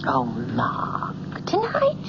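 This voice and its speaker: An actress playing a loving, placating young fiancée and professor's daughter in an old-time radio drama. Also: female voice, a bass voice, wildly swooping pitch, very slow, creaky, full character voice. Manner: soothing, affectionate. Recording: muffled, noisy old radio recording.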